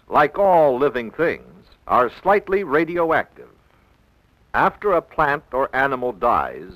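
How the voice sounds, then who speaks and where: American guy doing old 60s school film narration, slow n careful, lively up and down pitch. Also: dry recording, rough recording. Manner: measured, didactic, clearly enunciated. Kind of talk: scripted 1960s educational film narration. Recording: old 1960s film soundtrack.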